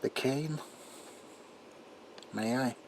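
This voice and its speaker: A man playing a troubled, desperate doctor in an ASMR roleplay monologue, speaking haltingly in a hushed voice that often drops toward a whisper. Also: medium pitch. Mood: uneasy, guarded. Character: troubled doctor protesting innocence, desperate and haunted. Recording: audible background noise.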